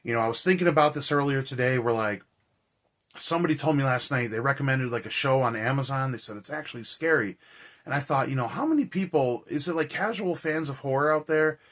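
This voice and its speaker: Raspy man chatting about movies. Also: medium pitch, fairly quick, a bit bright. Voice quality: raspy, hoarse. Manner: rambling, conversational, slangy. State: hoarse and tired after a cold and shouting. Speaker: horror movie reviewer and vlog host. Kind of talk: casual chat to camera.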